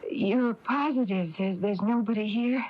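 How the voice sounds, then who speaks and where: A woman's voice: mid-range voice, a little slow, natural voice. An actress playing a polite, uneasy, wary woman in a radio mystery drama, hesitant and cautious in her speech. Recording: dull, noisy off-air radio tape.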